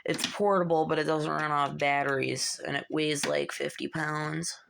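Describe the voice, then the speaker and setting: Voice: mid-range voice, fairly even intonation, moderate pace, gravelly, fairly bright tone, slight background noise. A hobbyist narrating a hands-on repair casually and informally, muttering asides while working. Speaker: hobbyist repairer showing a fix.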